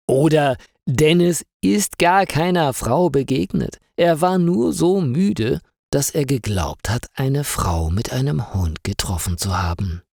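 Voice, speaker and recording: Slow-paced male storyteller, lively intonation. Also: mid-range voice, slightly rough, no room sound, studio quality. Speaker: narrator of stories for language learners. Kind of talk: slow, clearly paced scripted story narration for learners.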